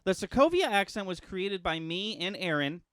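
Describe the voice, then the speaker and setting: A man co-hosting a casual podcast, excitable and rambling, laughing often. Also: sits high, moderate pace, clear, resonant tone, no echo, clean studio sound. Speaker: podcast co-host. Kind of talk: casual chat.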